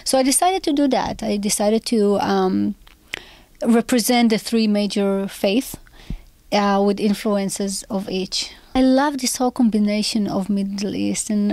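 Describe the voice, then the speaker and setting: A woman's voice: medium pitch, a little mellow, no room sound. A woman from Israel, a composer whose first language is Hebrew, talks through her interview answers in a considered way. She stops often to find the right word and fills gaps with small hesitations. Her voice sits in a middle register and the recording is close and dry. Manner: thoughtful, searching for words with many fillers.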